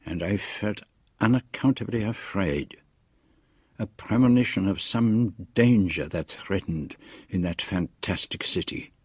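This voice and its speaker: An actor playing a grave, uneasy man narrating a mystery in the first person for a radio drama, measured and foreboding. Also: on the low side, darkish tone, breathy edge, no echo, clean studio sound.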